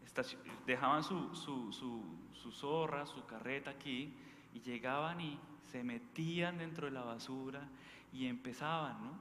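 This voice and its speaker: A man's voice: medium pitch, unhurried, bright, forceful tone. A man with a Bogotá Colombian accent giving an informal sermon as a priest, conversational and emphatic, often checking in with his listeners through short questions. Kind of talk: informal sermon, preached talk with anecdotes. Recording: echoey hall with faint background noise.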